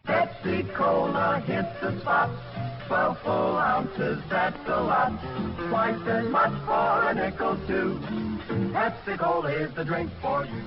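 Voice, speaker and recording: A man's voice: a little high, big pitch swings, slow pace. A man performing a sung advertising jingle in 1940s radio style. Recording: muffled old radio, loud music.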